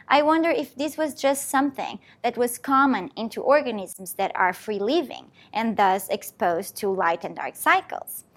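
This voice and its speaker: Woman researcher, animated and higher-pitched, patient explainer. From Portugal. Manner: explanatory, walking through an experiment step by step. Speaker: scientist. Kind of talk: presentation talk.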